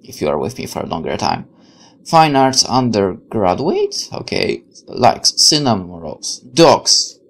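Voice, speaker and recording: Animated, creaky young male gamer. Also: on the low side, a little slow. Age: 20s. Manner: excitable, chatty asides, stumbles when reading. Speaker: gaming video host. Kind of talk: game let's play, reading aloud and reacting. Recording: faint background noise.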